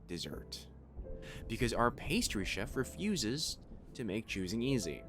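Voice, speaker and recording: A man acts out a composed, thoughtful catboy waiter in a cafe ASMR roleplay. His voice sits fairly low but moves expressively, gentle and reassuring, over clear background music. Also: heavily acted. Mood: wry, gentle. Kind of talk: ASMR roleplay, addressing the listener. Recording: music behind the voice.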